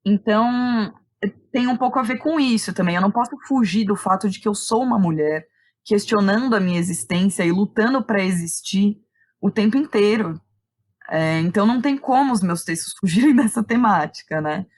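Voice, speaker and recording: A Brazilian woman speaks in a medium-pitched voice as an interview guest. She reflects casually and explains things conversationally, often acting out imagined remarks and seeking agreement with short tags. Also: moderate pace. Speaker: interview guest, playwright and director.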